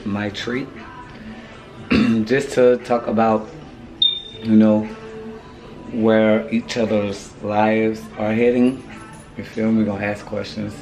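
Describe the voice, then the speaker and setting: Hoarse man vlogging to his phone. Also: fairly low pitch, level tone, slow pace, long pauses, over music, rough recording. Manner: loose, slangy, repeats "you feel me". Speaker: family vlogger. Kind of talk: casual vlog talk to camera. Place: apartment hallway indoors, then parked car. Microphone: handheld phone camera at arm's length.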